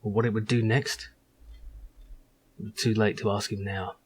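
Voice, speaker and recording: A man's voice: on the low side, level tone, moderate pace, slightly rough. A man narrating as a young male survivor telling his own story in a wry, reflective storybook style.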